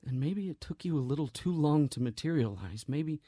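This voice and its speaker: An actor plays a grieving man in an audio drama, gentle and weighed down by guilt. His husky voice is close and dry. He speaks haltingly and earnestly, as if explaining something impossible. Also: a little high, lively intonation, no room sound.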